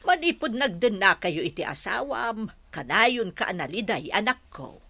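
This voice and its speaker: A Filipino woman playing a concerned, gentle middle-aged mother in a radio drama, coaxing as she talks. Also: mid-range voice, very animated, moderate pace, vocal fry, slightly rough, dry recording, exaggerated voice. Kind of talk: Philippine radio drama acting.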